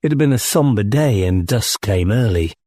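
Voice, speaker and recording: A man's voice: on the low side, animated, moderate pace, warm tone, no echo, clean studio sound. A man reading scripted first-person story narration as an audiobook narrator.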